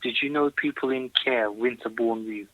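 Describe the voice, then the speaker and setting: A man's voice: medium pitch, moderate pace, no echo. A man calling in to a radio show, talking in an earnest, emphatic way and repeating his points. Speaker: caller. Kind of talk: phone-in call to a radio show. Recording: tinny phone line.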